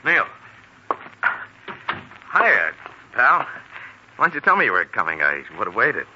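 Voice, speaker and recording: A man's voice: medium pitch, wildly swooping pitch, measured pace. An actor playing a breezy, evasive salesman pal in a 1940s radio drama, flippant and defensive. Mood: breezy, glib. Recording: muffled, hissy old radio recording.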